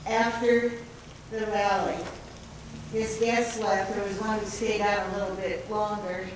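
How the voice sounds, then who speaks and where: A woman's voice: medium pitch, flat delivery, unhurried. Woman, audience remark, earnest, echoey room, distant, background noise. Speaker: audience member adding remarks. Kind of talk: short personal remarks to an audience.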